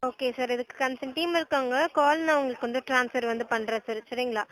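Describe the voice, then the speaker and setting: A woman's voice: sits high, fast, a bit bright, slight background noise, low-quality recording. A woman with a Tamil accent working as a customer service agent, politely interpreting on a phone support call and a bit hesitant in English.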